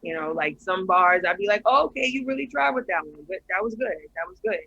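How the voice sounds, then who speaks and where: Soft-spoken, bright-voiced New York man. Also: high voice, animated, fast, creaky, no room sound. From United States. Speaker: guest battle rapper. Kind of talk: casual interview chat. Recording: dull, muffled dry audio.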